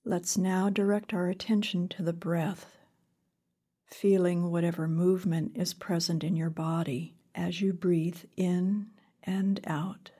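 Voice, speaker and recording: A woman leading a guided meditation in a calm, gentle, unhurried way with long pauses, her voice soft and breathy. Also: on the low side. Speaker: meditation teacher. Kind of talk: guided body scan meditation.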